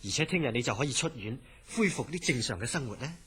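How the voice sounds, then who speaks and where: An actor playing a calm, reassuring hospital director in a horror radio drama, measured and husky, with a Hong Kong Cantonese accent. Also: male voice, medium pitch, natural voice. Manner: measured, placating.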